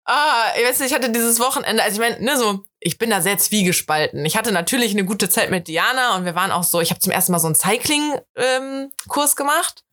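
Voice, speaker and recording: A woman's voice: a little high, fairly quick, few pauses. A woman from the Rhineland with a Rhineland German accent, chatting casually as a podcast co-host and acting out her own quotes as she tells stories. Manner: animated storyteller, acts out her own quotes. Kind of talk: casual chat.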